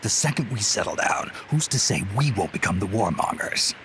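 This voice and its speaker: An actor playing a gruff, world-weary male mercenary leader in a video game, speaking tersely and dryly. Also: on the low side, sing-song, brisk. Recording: game cutscene with clear background noise.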